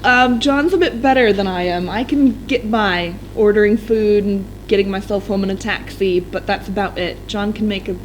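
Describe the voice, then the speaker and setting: A young woman, an English teacher, chatting casually on a live stream with quick laughs and frequent ums. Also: mid-range voice, a little hiss or hum, rough recording. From United States.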